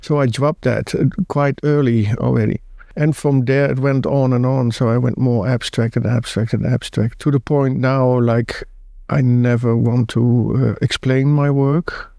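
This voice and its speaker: A Dutch man in his fifties talking as a guest artist, halting and laid-back, with many restarts. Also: mid-range voice, steady tone, vocal fry, mellow, no room sound. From Netherlands. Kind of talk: casual interview chat.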